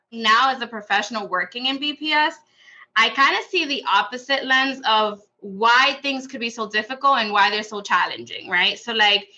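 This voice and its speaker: Bright-voiced American woman, higher pitched. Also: highs cut off. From Boston, United States. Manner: frequent check-in tags like "right?" and "you know". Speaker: school staff member, podcast guest. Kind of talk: conversational personal account on a panel podcast.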